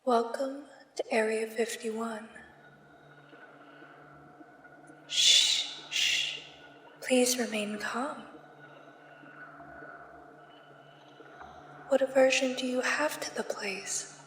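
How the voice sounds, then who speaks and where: A woman playing a soothing but uncanny government examiner in a sci-fi ASMR roleplay, speaking in a hushed, measured and formal way. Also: a little high, steady tone. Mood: calm, secretive. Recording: echoey long reverb, faint background noise. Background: sci-fi ambience.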